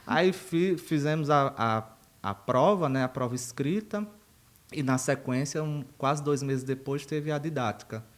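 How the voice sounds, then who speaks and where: A man's voice: medium pitch, unhurried. Chatty man telling his story. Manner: chatty and digressive, laughs at himself. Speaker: university professor, podcast guest. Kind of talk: casual interview storytelling.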